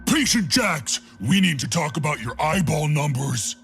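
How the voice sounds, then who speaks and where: A man playing a big, dim-witted, crazed brute who thinks he is a doctor, in a video game, speaking gravelly broken grammar with a booming delivery. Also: very animated, measured pace, a bit bright, soft background music, heavily acted. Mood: mock-professional. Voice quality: gravelly, raspy. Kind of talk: video game character voice lines.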